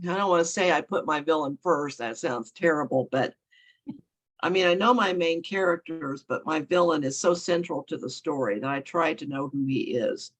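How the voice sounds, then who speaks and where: Froggy-voiced woman author, hoarse. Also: low-pitched, low-quality recording. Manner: rambling, self-correcting, chuckling often. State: hoarse from a cold. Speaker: guest author. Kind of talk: casual interview chat.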